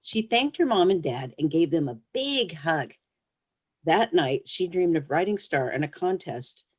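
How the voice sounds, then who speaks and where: A woman's voice: low voice, lively intonation, measured pace, breathy edge, dull audio. A woman who is a children's book author, speaking as a guest on a storytime show, reading aloud or chatting casually. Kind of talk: storybook read-aloud, then casual interview answers.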